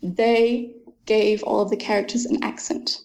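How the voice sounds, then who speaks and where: A woman's voice: medium pitch, little pitch movement, measured pace, vocal fry, a little mellow, poor audio. A young woman, an author answering interview questions thoughtfully and enthusiastically, with frequent ums.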